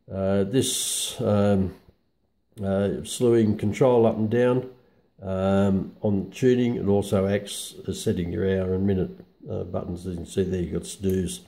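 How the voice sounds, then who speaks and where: Slow, low-voiced Australian man, halting delivery. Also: steady tone, creaky, warm tone. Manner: halting, hesitant delivery with very frequent pauses. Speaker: hobbyist radio reviewer. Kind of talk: casual unscripted product review.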